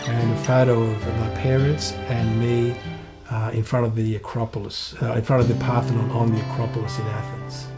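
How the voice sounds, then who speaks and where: A man introducing himself and his keepsakes in a careful, halting way. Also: medium pitch, flat delivery. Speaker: interviewee. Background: loud music.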